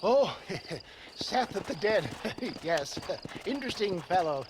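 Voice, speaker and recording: A flowery old frontier salesman in a game cutscene. His voice is high for a man, pompous and theatrical, rolling out wordy phrases over clear outdoor noise. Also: sing-song, slow, exaggerated voice. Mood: genial, wry. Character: flowery, genial old male snake-oil salesman of the frontier. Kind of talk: video game cutscene acting.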